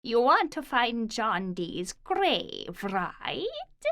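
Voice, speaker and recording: A woman voicing a hoarse, croaking old woman with a spooky, menacing manner. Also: a little high, big pitch swings, fairly bright tone, pitched above the performer's own voice, full character voice. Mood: ominous. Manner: slow, drawn-out, ominous.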